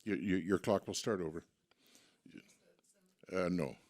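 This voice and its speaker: A man with a very low voice is moderating a town candidates forum. He stammers over his words, keeps things loose and joking, and calls out time on the speakers. He is speaking in a community rec center hall. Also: unhurried, a little mellow.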